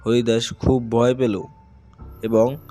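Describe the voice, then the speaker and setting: A man's voice: mid-range voice, little pitch movement, takes it slowly, warm tone. A man narrating a children's cartoon tale as a scripted storyteller. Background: music.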